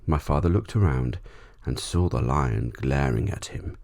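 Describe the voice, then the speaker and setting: A man's voice: very deep voice, unhurried, gravelly, a little mellow. A man narrating a bedtime story in an ASMR audiobook style.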